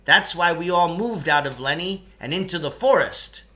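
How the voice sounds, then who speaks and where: Man acting a pompous, bright-voiced storybook raconteur. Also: on the high side, animated, moderate pace. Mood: solemn, ominous. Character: long-winded, nostalgic storyteller man devoted to tea-like ritual. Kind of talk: children's novel read aloud in character.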